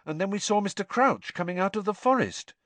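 Audiobook role: breathless, insistent teen boy wizard played by a man, pitched well up, urgent, prone to stammering. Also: expressive, fairly quick, a bit bright, no echo. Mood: anxious, hurried. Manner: urgent, exclaiming, stammering over words.

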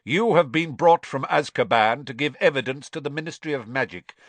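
A man's voice: mid-range voice, brisk, a bit bright, no echo, pitched above the performer's own voice, close to an everyday voice. A man voicing a curt, stern middle-aged male official who speaks sharply and formally.

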